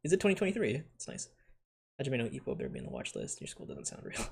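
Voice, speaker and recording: A man's voice: mid-range voice, huge pitch swings, fast, vocal fry, slightly rough. A man with an American accent, raised in the Philippines, casually ranking anime in a rambling way, full of fillers and self-corrections. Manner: laughs often while talking.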